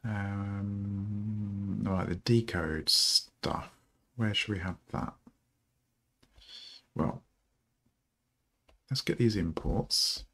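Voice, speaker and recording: A middle-aged man with a low, gravelly voice, still rough from just getting up. He talks at an unhurried pace, halting often with ums as he thinks aloud through his work. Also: steady tone, sharp tone. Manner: slow, halting, with many ums. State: rough morning voice. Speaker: programmer streaming a coding session. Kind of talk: thinking aloud while coding.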